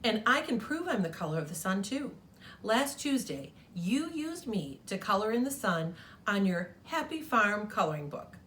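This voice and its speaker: A woman voicing a whiny, boastful yellow crayon from a children's storybook, indignant and insistent. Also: medium pitch, very animated, normal speed, a little hiss or hum.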